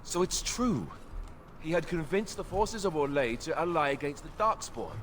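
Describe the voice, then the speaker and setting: Grave fantasy warrior. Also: male voice, fairly high pitch, expressive, moderate pace, a bit bright, a little hiss or hum. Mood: grave, bitter. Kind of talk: dramatic video game dialogue.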